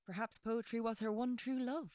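A woman's voice: fairly high pitch, steady tone, moderate pace, darkish tone, no echo, studio quality, natural voice. An actress playing a curious, genteel woman in a radio drama. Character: curious, genteel woman prompting a storyteller, wistful.

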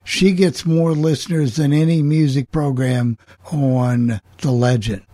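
A man hosting a casual radio panel discussion, talking in a halting, repetitive way. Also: mid-range voice, steady tone, warm tone, no echo, clean studio sound. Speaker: host of an online radio coffee club show.

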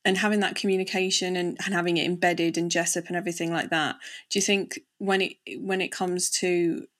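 A woman's voice: lowish voice, little pitch movement, a little fast. A woman interviews a guest for a podcast. Her style is casual and conversational, with chatty, informal phrasing.

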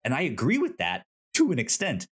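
A man chats casually as a podcast co-host, his pitch swinging widely as he talks. His delivery is halting, with repeated stutters at the starts of words. The tone is informal discussion. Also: wildly swooping pitch, slightly rough. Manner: halting, stuttering on initial sounds.

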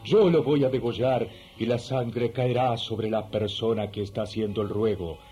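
A man's voice: on the low side, moderate pace, mellow, faint music behind, natural voice. A man acting in a radio drama as a solemn spiritist priest with an incantatory, commanding manner.

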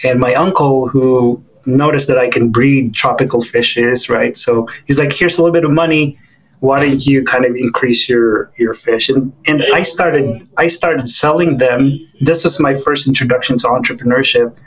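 Interview guest, man in his forties from the Philippines, mid-pitched, easygoing storyteller with fillers. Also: moderate pace, poor audio. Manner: relaxed storytelling with fillers and restarts.

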